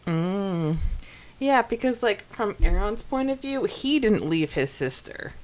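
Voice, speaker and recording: Female co-host, unhurried and very animated in pitch, agreeable casual conversation. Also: rough recording. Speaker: podcast co-host. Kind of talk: casual chat, reacting to co-host.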